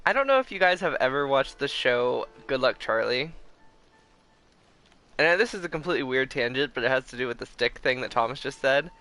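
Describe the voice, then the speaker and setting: A man's voice: mid-range voice, animated, a little fast, bright, forceful tone. A young man chatting casually and in a rambling way as he livestreams a game. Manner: rambling, chuckling. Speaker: live streamer. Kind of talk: casual gameplay stream chat. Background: game music.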